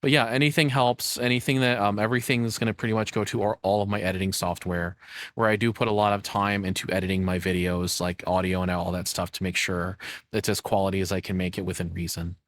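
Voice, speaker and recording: A man chatting casually as a livestreamer, rambling and excitable, with informal speech and mild swearing. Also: low voice, fast talker. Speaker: livestreamer and audio roleplay voice actor.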